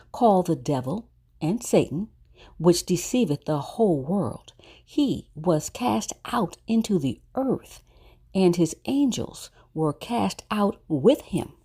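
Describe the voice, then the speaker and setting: A woman reading scripture aloud slowly and carefully. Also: on the low side, dark tone, breathy edge. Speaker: scripture reader.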